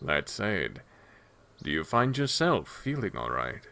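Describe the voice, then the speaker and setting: Courteous clawed emperor acted by a male reader, composed, measured, formal; fantasy story, dry close sound. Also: deep voice, sing-song, vocal fry, pushed and bright, no echo, pitched below the performer's own voice, exaggerated voice. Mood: concerned.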